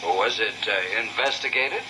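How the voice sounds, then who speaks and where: An actor playing an aloof, politely dismissive official in a 1950s radio drama, with a measured, hesitant and condescending manner. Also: male voice, on the low side, animated, pushed and bright, full character voice. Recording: noisy old 1950s radio recording.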